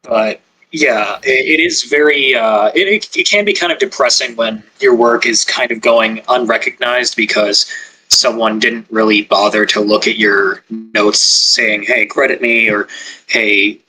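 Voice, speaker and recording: A man's voice: lowish voice, a little slow, bright, forceful tone, rough recording. A man who writes scripts, talking on a podcast in a hesitant way, often repeating his words. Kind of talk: casual podcast chat.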